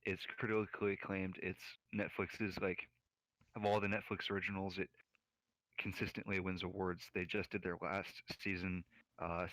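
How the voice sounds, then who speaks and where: A man's voice: deep voice, bright, forceful tone, dry recording, low-quality recording. A man from the United States co-hosting a casual podcast, rambling with lots of fillers and "like"s. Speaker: podcast co-host.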